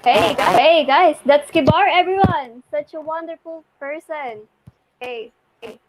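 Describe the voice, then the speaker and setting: High-voiced effusive woman hosting, noisy audio. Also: unhurried. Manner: effusive, repeats words and phrases. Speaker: host reading community questions. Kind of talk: casual livestream hosting. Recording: noisy livestream audio with clicks.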